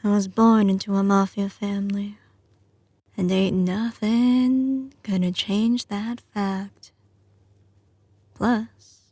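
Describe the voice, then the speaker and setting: A slow-spoken mafia boss girlfriend, acted by a woman, with a light Southern US drawl. She is teasing and doting yet ruthless, sliding between command and tenderness in a close, dry ASMR audio roleplay. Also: on the high side, warm tone, no room sound. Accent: slight Southern US drawl. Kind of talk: ASMR audio roleplay, intimate scripted acting.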